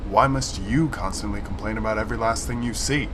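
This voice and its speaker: Man as a deep-voiced, bitter fighter pilot. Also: a little fast, background noise, close to an everyday voice. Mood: irritated. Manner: sullen, curt, sarcastic. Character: bitter human fighter pilot, former privateer, defiant. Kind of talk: sci-fi audio drama acting.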